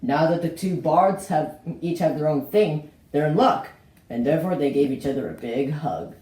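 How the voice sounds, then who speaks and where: A host narrates a homemade puppet show in a mid-pitched, very animated voice. The delivery is theatrical and given to exclaiming, like a storyteller. The recording is roomy and echoey, with a little noise. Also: normal speed. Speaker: host showing a puppet collection. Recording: roomy echo with faint noise.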